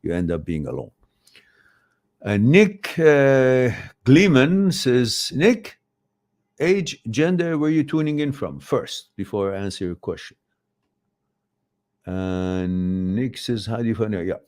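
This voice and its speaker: A man's voice: mid-range voice, expressive, slow, long pauses, a little mellow. A middle-aged man, a Farsi speaker, hosting a live advice stream and reading out viewer questions, rambling and often correcting himself. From Iran. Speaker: host.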